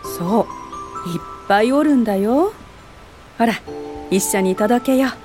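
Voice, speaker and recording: An actress playing a kindly, pious aunt in a radio drama, patiently teaching in a folksy Aichi accent. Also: female voice, on the high side, expressive, measured pace, over loud music. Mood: warm, brisk. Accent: Aichi, central Japan.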